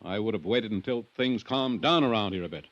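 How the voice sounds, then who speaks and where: A man's voice: on the low side, lively intonation, a little fast, dry recording, lightly acted. An actor playing a middle-aged American ranch owner, a curt, irritable, combative father, in a 1950s radio drama. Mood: indignant, defensive. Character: gruff, defensive, hot-tempered ranch owner and stern father. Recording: dull, dry vintage radio recording.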